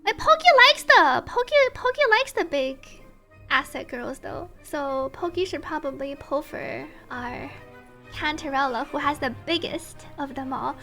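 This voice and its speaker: Woman, VTuber game streamer, very high pitch, bright tone, playful, expressive, casual chat, faint background music. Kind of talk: casual stream chat, reading subtitles aloud.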